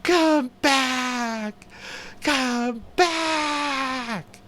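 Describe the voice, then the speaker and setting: A man voicing frightened, desperate young boys in an audiobook reading, raising his voice to high, strained shouts. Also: very slow, heavily acted. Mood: desperate shouting.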